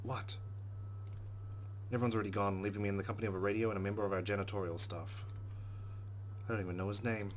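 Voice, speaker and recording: A man narrating a visual novel aloud in a dramatic, expressive read-aloud style, with muttered asides. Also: lowish voice, fast talker, pauses often, a little hiss or hum. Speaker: let's play narrator.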